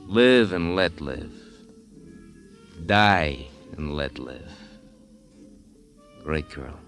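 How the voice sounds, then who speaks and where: An actor playing a sly, cocky American murderer in a 1950s radio crime drama, with slangy, needling delivery. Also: male voice, extremely low pitch, animated, extremely slow, fairly bright tone, faint music behind, full character voice. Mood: smug, sly. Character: cocky, sly male murderer and crook, bribing a cop.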